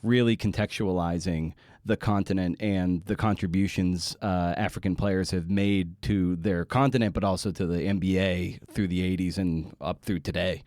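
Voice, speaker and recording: A man hosting a podcast interview, speaking thoughtfully in halting phrases with frequent restarts. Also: deep voice, fairly even intonation, darkish tone, no room sound, clean studio sound. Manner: thoughtful, halting, many "uh" and "you know" restarts. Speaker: podcast host. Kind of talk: interview conversation.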